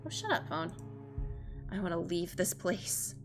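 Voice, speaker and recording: A woman's voice: lowish voice, very animated, unhurried, soft background music. A woman streamer reading a visual novel aloud expressively, with casual, sweary reactions of her own.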